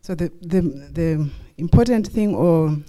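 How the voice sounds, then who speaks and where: A woman's voice: fairly low pitch, a little slow, mellow. A middle-aged woman from Zimbabwe with a Zimbabwean accent, giving a personal testimony at church in short stretches for an interpreter.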